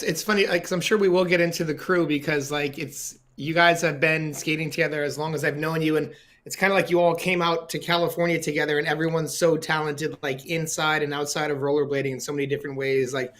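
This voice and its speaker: A man's voice: on the high side, a bit bright. A man with a voice a bit above the usual, hosting a casual podcast interview. He circles around his questions, filling gaps with "like" and "um", friendly and loose. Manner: friendly, meandering questions full of "like". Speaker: podcast host.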